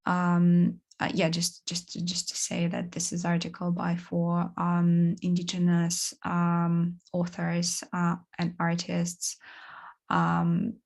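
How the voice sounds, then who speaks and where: A woman giving a seminar talk, halting, with many fillers and restarts. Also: fairly low pitch, completely flat delivery, vocal fry, a little mellow. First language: Russian. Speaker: course presenter.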